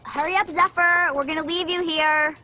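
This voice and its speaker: A woman's voice: very high voice, fairly even intonation, normal speed, bright, forceful tone, a little hiss or hum. A woman playing an earnest, perky young female companion in an English-dubbed video game cutscene. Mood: eager.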